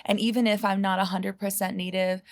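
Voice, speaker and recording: Creaky, flat-voiced woman, earnest. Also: medium pitch, brisk. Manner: earnest, reflective, often says "you know". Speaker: guest, healer and psychedelic guide. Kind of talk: podcast conversation.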